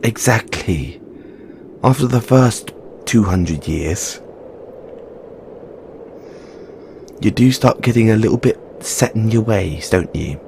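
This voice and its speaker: A frank male Christmas elf, acted by a man, speaks at an unhurried pace with a very lively, swooping delivery. He is caring and a little weary, chiding yet reassuring, in an informal audio roleplay monologue. There is faint ambient noise behind the voice. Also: on the low side, creaky. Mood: understanding.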